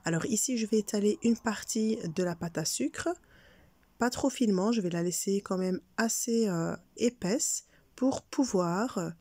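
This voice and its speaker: A woman's voice: mid-range voice, unhurried, a little mellow, dry recording. A woman with a cold, her voice congested, hosting a cake decorating tutorial in a friendly, hesitant way with many fillers. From Belgium. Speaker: cake decorating channel host. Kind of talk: casual cooking tutorial voice-over.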